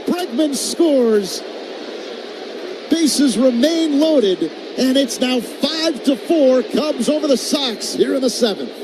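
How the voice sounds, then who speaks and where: Male radio play-by-play announcer, high-pitched, clipped delivery, live sports commentary, heavy ballpark noise behind. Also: expressive, slow. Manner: clipped phrases, builds to shouts on big plays. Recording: loud constant stadium noise behind.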